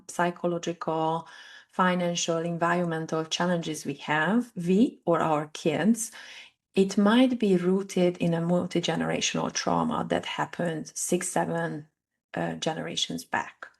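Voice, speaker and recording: Gravelly Hungarian-accented woman explaining calmly. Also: fairly low pitch. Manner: explanatory, measured, with occasional hesitations. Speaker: guest, family constellation facilitator. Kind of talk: conversational podcast interview.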